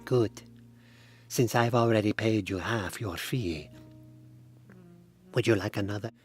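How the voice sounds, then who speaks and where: A man voicing a sultry, scheming woman who talks in a smooth, coaxing, unhurried way. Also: on the low side, darkish tone, soft background music.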